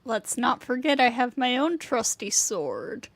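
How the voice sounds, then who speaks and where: A woman's voice: fairly high pitch, very animated, a little slow, no echo. A woman casually commenting on a game and reading text aloud as a livestreamer, close on the mic. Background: game music.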